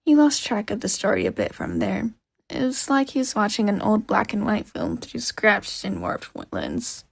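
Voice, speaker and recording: Expressive woman narrator with creaky, husky voice. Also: medium pitch, moderate pace, dry recording. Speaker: podfic narrator. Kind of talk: expressive scripted fiction narration.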